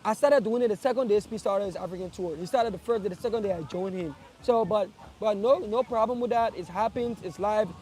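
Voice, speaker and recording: A man's voice: high voice, a little fast, few pauses, dark tone. A young man from Liberia, speaking earnestly to his followers in a casual live address, often repeating and restarting phrases. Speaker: streamer.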